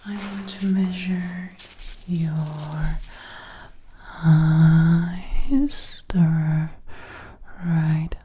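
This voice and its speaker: Female ASMR artist, hushed and extremely unhurried, mellow low-ish tone, whispering close to the mic over faint hiss. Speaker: ASMR creator acting out a personal attention measuring roleplay. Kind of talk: ASMR, soft spoken mixed with whispering. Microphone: close binaural microphone, ear to ear.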